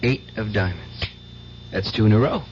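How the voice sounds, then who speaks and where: An actor playing an earnest, needy, devout nephew in an old radio drama. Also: male voice, on the low side, normal speed, natural voice. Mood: confident. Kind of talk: 1970s radio drama acting. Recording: muffled, hissy old radio recording.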